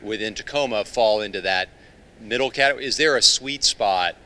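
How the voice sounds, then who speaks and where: A man in the audience who asks a measured, thoughtful question, with plenty of uhs and ums along the way. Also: on the low side, sharp tone. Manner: measured, thoughtful, with many fillers. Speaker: audience member. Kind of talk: question from the floor.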